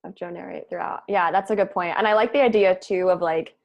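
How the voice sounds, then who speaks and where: A woman's voice: on the low side, fairly even intonation, fairly quick, gravelly, highs cut off. A woman scriptwriter talking casually in a group discussion about feedback. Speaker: scriptwriter leading a table read feedback session.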